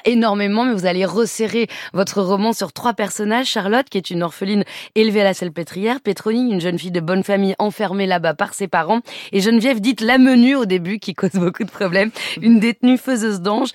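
Woman radio host, crisp and dry. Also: medium pitch, fairly quick, no room sound. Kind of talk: scripted portrait then interview.

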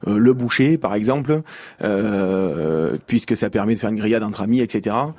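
Man, studio guest on the radio, measured and explanatory, warm dark voice, dry close sound. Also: fairly low pitch, dry recording. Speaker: director of a naturist holiday centre, studio guest. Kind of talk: radio interview answers. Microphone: studio microphone.

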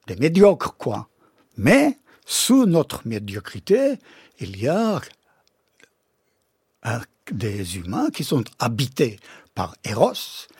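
Man, non-native speaker, middle-aged, philosopher guest, ironic and emphatic, husky, dry studio sound. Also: dry recording. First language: not French. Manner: expressive, ironic, emphatic with sudden pitch jumps. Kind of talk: radio interview, animated explanation. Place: radio studio.

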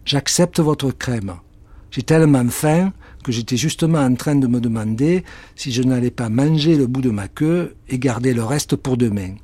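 A man's voice: medium pitch, normal speed, mellow, no echo, natural voice. A man voicing a hungry, grumbling male house cat in a storybook reading, with a whiny, complaining manner. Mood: wary.

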